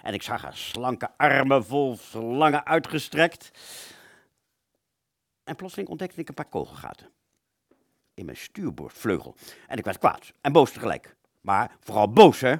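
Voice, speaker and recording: Man acting animated, rambling wartime fighter pilot. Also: mid-range voice, normal speed, gravelly, no room sound, studio quality. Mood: dreamy, recollecting. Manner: stammering, repeating, dramatic. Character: rambling, agitated male wartime fighter pilot reliving battle. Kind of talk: radio play monologue.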